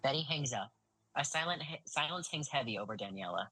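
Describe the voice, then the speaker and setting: A woman's voice: very deep voice, expressive, brisk, sharp tone, breathy edge, low-quality recording. A woman reading scripted stage-direction narration as the narrator of an audio drama.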